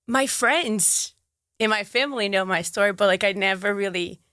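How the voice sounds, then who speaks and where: A woman's voice: mid-range voice, normal speed, bright, forceful tone, dry recording. Young woman, Brazilian accent, bubbly, laughing, chatty interview guest, dry close sound. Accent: Brazilian Portuguese. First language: Portuguese. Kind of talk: casual interview chat.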